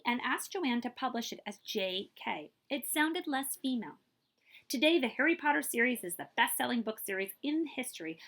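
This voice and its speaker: A woman reading a children's picture book aloud in an expressive, emphatic storytelling manner, like a librarian at story time. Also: a little high.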